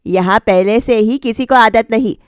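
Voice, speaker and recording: Woman voicing a resigned older mother-in-law, fairly high. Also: normal speed, clear, resonant tone, no room sound, clean studio sound, lightly acted. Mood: resigned, explaining. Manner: matter-of-fact, explaining.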